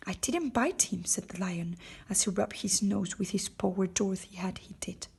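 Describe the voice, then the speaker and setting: A woman's voice: medium pitch, flat delivery, normal speed, vocal fry, a little mellow, slightly rough. A woman narrating a children's storybook from a script with expression. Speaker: storybook reader.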